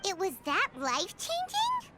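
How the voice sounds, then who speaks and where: Tiny fairy companion, extremely high, very animated, childlike, naive, squeaky, video game. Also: female voice, unhurried, over loud music, exaggerated voice. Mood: curious, surprised. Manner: speaks of self in third person, exclamatory. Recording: game audio with loud background sound.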